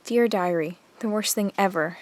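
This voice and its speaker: A woman's voice: medium pitch, normal speed, no room sound, natural voice. A woman playing an earnest, insecure girl of twelve confiding in her diary in a radio drama. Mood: dejected. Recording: music under the voice.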